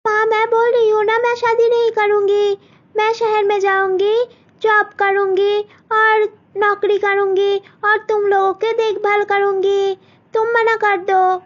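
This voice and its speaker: A very high, pleading young girl's voice put on by a woman narrator fills a cartoon story. She sounds close to tears and begs for help. The recording is dull and lo-fi. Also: flat delivery, a little slow. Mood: insistent. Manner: high, pleading, sobbing at times. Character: young village girl, tearful and pleading.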